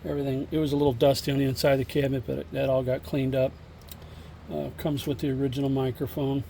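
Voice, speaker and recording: A man giving a casual, halting, hands-on demo of used equipment in a plain, unscripted way, recorded on a phone. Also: mid-range voice, level tone, vocal fry, dark tone, a little hiss or hum. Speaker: seller demonstrating a used CB radio.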